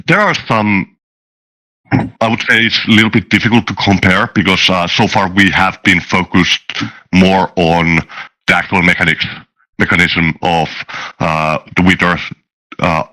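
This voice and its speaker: A man speaking hesitantly in an interview as a game master and designer, with searching pauses. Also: sits low, sharp tone, rough recording.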